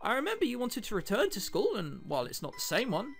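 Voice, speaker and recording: A man's voice: sits high, wildly swooping pitch, fast talker, creaky, soft background music, exaggerated voice. A man reading the lines of earnest, reassuring adult men in a visual novel. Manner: reassuring, explanatory. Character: earnest, placating adults: a father and a doctor.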